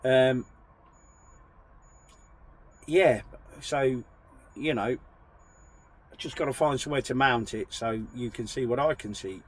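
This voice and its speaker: Croaky trucker chatting. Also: male voice, mid-range voice, slow, long pauses. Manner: rambling, chatty, apologetic. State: croaky from a chest infection. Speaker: truck driver vlogger. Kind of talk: casual chat to camera.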